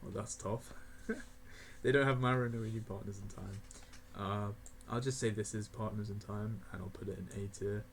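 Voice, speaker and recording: Young man, eighteen, fairly low voice, rambling, laughs a lot, faint room noise, mouse clicks. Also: fairly even intonation, moderate pace. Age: young adult (18). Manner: rambling, laughing often, many fillers. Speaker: gaming video creator. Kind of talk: casual tier list commentary.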